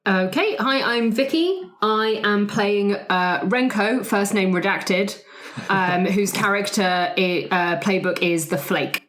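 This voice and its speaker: A woman's voice: mid-range voice, slow pace, a bit bright. A woman chatting casually as a player in a tabletop game, giggly and informal. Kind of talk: casual chat. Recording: roomy echo, group chatter around.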